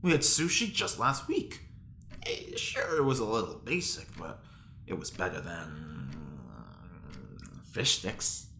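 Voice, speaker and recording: Haughty, theatrical fashion icon, self-admiring, lively inflection, echoey recording with faint noise. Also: male voice, lowish voice, slow, soft background music, heavily acted. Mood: smug. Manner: languid, pompous, self-admiring. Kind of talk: audio drama dialogue acting. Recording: roomy echo, faint noise.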